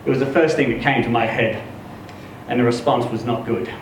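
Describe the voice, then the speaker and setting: A man reads literary fiction to a live event with expressive, dramatic storytelling. His mid-pitched voice comes from a distance in a very echoey room, with clear background noise. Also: brisk. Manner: expressive storytelling, acts out dialogue. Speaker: story reader.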